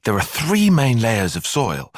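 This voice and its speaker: An actor playing an earnest, cerebral young soldier and tactician in a video game, with a British accent, articulate formal phrasing and a slightly husky voice. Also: male voice, medium pitch, huge pitch swings, a little slow, no echo, clean studio sound. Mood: measured, analytical. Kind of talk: scripted video game cutscene acting.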